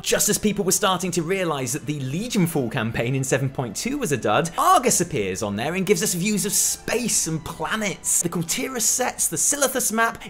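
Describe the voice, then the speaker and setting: A man hosting a gaming news show, giving scripted comic commentary in a theatrical, emphatic, sweary way. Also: a little high.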